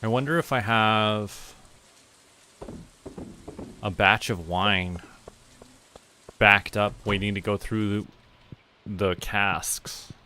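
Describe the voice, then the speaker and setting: A young man from Canada giving relaxed, musing commentary as he plays, often talking to himself. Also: fairly low pitch, a little hiss or hum. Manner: slow, musing, talks to himself while playing. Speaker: VTuber streamer.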